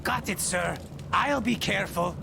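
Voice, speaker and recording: A little game sidekick, servile with a streak of cheek. The voice is extremely high and full of rasping fry. Clear ambient noise sits behind it. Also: male voice, measured pace, full character voice. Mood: meek, obedient. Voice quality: high-pitched, creaky. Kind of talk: video game character acting.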